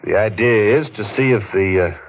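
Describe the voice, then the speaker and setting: An actor playing a dogged, wry investigator in a 1940s radio noir, with clipped, sardonic delivery. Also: male voice, fairly low pitch, moderate pace, close to an everyday voice. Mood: brisk, determined. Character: dogged, wry, hard-boiled male investigator narrating his case. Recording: muffled vintage radio recording with music.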